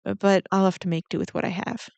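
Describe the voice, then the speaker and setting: Woman as kindly ant queen for roleplay audio: mid-pitched, gentle, hesitant, rambles a little, close dry sound. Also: little pitch movement, fast, gravelly, dry recording, lightly acted. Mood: caring, flustered. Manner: gentle, hesitant, rambling with small nervous laughs. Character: kindly, flustered giant four-armed ant queen. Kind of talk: scripted roleplay audio drama, addressing the listener.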